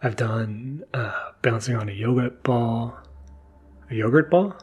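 A man speaking as a podcast host in a casual, intimate monologue, hesitant and soft-spoken. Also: medium pitch, unhurried, slightly rough. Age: adult, new father. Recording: mellow music underneath. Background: soft mellow music.

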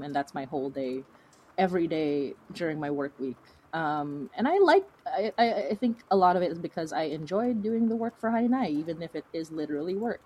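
A woman's voice: low-pitched, dark tone, slight background noise, poor audio. A woman chatting casually as an interview guest, rambling and informal, with a self-deprecating way of talking. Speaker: fiction podcast creator, interview guest.

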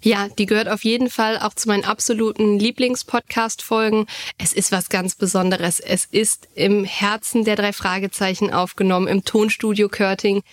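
Woman, medium pitch, podcast co-host, articulate, appreciative, casual chat. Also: little pitch movement, moderate pace.

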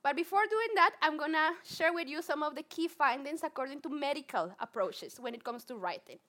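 Colombian woman psychologist, high and bright voice, earnest talk, restarts words. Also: lively intonation, a little fast. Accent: Colombian Spanish. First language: Spanish. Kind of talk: public talk to a live audience.

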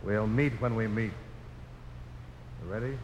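An actor playing a grim, resolute frontiersman in 1950s American radio drama, with terse, deliberate speech. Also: on the low side, natural voice. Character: grim, resolute frontiersman duelist, laconic and steady. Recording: muffled, noisy old radio broadcast.

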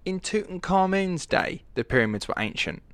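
A man's voice: high-pitched, lively intonation, moderate pace, fairly bright tone, clear, resonant tone, dry recording. A man co-hosting a casual history podcast from home, eagerly chipping in with facts, on a poor-quality mic. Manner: enthusiastic, chips in with facts. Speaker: podcast co-host. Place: room at home with pets.

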